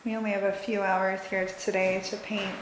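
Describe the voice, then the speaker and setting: A woman's voice: mid-range voice, little pitch movement, normal speed. A woman in her thirties chatting in an upbeat, casual way as she narrates a home renovation tour. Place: empty house rooms under renovation, indoors. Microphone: handheld camera held by speaker. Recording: echoey empty rooms, clear background noise.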